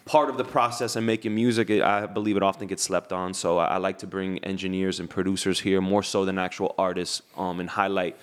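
A man hosting a podcast, interviewing in a casual, slangy way with halting, repeated phrases. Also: deep voice, level tone. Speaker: podcast host.

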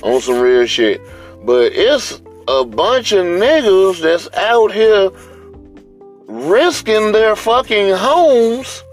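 A man with a Southern US accent hosting a podcast in a casual, unscripted monologue, blunt, slangy and swearing heavily. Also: high-pitched. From US South, Atlanta area. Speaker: podcast host.

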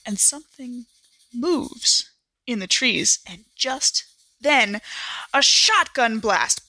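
A woman with a bright, forceful, fairly high voice talks casually as a podcast regular. She tells things in an informal first-person way, recorded dry and close. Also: lively intonation, takes it slowly, no echo, low-quality recording. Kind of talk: casual chat and lively first-person story recap.

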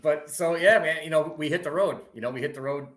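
A man from Wisconsin, a podcast guest, telling his travel story in a relaxed, rambling way, full of "man" and "you know". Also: fairly high pitch, fairly quick. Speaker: podcast guest, traveling fishing content creator. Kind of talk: casual storytelling chat. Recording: roomy echo.

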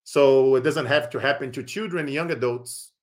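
A middle-aged man from Brazil with a Brazilian Portuguese accent, hosting a podcast and giving a persuasive, preachy pitch with many fillers. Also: normal speed. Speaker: podcast host. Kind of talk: motivational podcast monologue with sponsor plugs.

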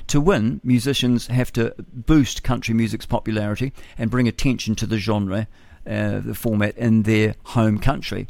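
A male radio host with a lowish voice, reading out news stories and adding his own casual remarks. The sound is close and dry, a studio mic with no room around it. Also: vocal fry, dry recording. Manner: chatty, reads news with offhand asides and fillers.

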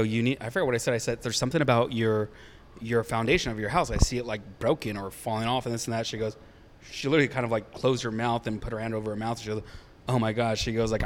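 A man in his thirties, a psychic medium, recounting his readings in a rambling, informal, conversational way. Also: medium pitch. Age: 30s. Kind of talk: casual chat, storytelling.

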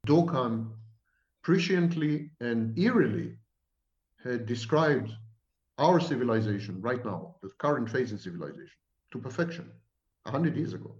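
A man's voice: mid-range voice, lively intonation, moderate pace, darkish tone, roomy sound, dull audio. A man from Israel, a professor answering at length in an emphatic, repetitive lecturing manner. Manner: emphatic, repetitive, sweeping declarations. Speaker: psychology professor guest.

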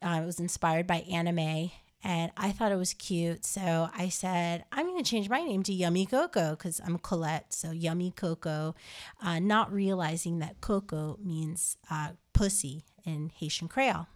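A woman's voice: fairly low pitch, a little slow. A woman from New Jersey hosting her podcast, chatting casually with lots of ums and uhs. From New Jersey, USA. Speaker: podcast host.

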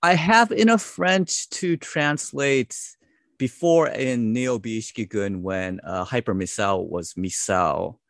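A man in his forties, a wrestling translator, talking casually as an interview guest, relaxed and self-deprecating with plenty of fillers. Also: medium pitch, slow pace, vocal fry, no echo, studio quality. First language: English.

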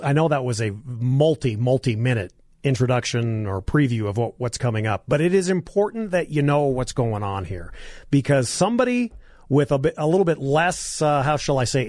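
A hoarse man hosting a talk radio show, delivering a combative, sarcastic monologue and coughing now and then. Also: mid-range voice, expressive, fairly quick, darkish tone, no echo, clean studio sound.

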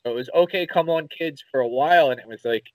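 A man with a Philadelphia accent, co-hosting a podcast in casual chat, telling rambling, animated stories and swearing casually. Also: a little high, fast, no echo, low-quality recording. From Philadelphia area, USA. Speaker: podcast co-host.

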